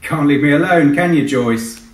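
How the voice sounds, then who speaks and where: A man's voice: fairly high pitch, normal speed, voice pushed up, lightly acted. Man playing a dry helicopter pilot, echoey. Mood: polite, dry. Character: wry male helicopter pilot, dutiful and long-suffering. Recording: echoey hall, distant microphone.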